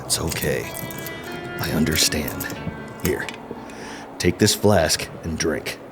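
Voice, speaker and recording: An actor playing a grizzled, curt, short-tempered survivalist who swears hard in a horror audio drama. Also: male voice, extremely low pitch, expressive, slow pace, over music, exaggerated voice. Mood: gruff, consoling.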